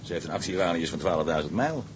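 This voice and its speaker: Low-voiced hearty ship's engineer. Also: male voice, animated, quick pace. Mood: proud, hearty. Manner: colourful, colloquial, chummy. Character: hearty, boastful male ship's engineer, gossipy. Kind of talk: Dutch radio drama acting. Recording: noisy engine ambience behind.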